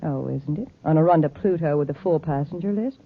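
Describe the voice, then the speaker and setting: An actress playing a cool, scheming, smug boss of a space shipping line in a 1950s radio serial. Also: female voice, low-pitched, a little fast. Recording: muffled old radio recording.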